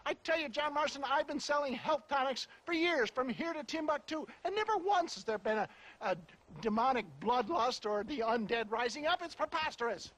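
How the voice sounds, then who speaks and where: A pompous older con-man peddling tonics, his voice pitched high and swooping all over with theatrical flair. He chuckles and wheedles through every line like a showman in a game cutscene. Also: expressive, moderate pace, fairly bright tone, poor audio. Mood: theatrical scheming. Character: flamboyant old male con-man tonic salesman. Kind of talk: video game cutscene acting.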